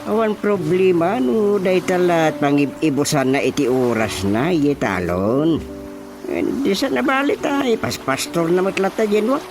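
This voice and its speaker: Excitable gossiping villager, swinging pitch. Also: male voice, unhurried. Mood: grumbling gossip. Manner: exclamatory, repeated interjections. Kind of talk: comedic radio drama acting. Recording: music bed behind voices.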